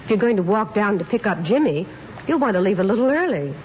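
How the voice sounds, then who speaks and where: Mom played by a woman, mid-pitched, practical and caring, mid-century radio drama, noisy old recording. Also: very animated, fast talker, muffled recording, natural voice. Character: practical, caring mother and wife.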